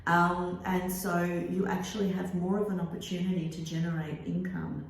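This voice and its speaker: An Australian woman, an author and teacher, answering interview questions thoughtfully, with many pauses and ums. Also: lowish voice, level tone, vocal fry. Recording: echoey room.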